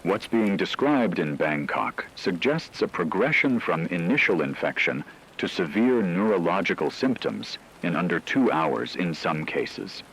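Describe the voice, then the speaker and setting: Deep-voiced male radio news anchor, acted. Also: expressive, fairly bright tone, breathy edge, full character voice, poor audio. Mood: grave, controlled. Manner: formal, measured, factual. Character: grave, measured male radio news anchor reporting an outbreak. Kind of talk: scripted breaking radio news report.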